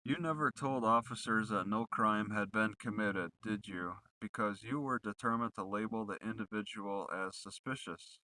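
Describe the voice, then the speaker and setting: Man, low-pitched, bright forceful tone, accusatory leading questions, cross-examination style, close dry sound. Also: moderate pace, hardly any pauses, no echo, rough recording.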